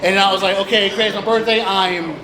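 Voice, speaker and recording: A man's voice: sits high, fairly even intonation, fairly quick. A man in his thirties doing rambling, self-deprecating stand-up, acting out bits of dialogue in a casual way. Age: 30s. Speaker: stand-up comedian. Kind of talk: stand-up comedy, casual joke telling. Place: small live comedy venue, through speakers. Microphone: stage microphone with PA. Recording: echoey live club with chattering crowd.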